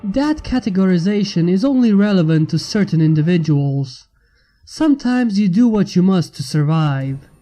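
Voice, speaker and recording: A man voicing a calm, coldly logical inventor leader in a story reading, in a nonchalant, formal, measured manner. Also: sits high. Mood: calm, firm.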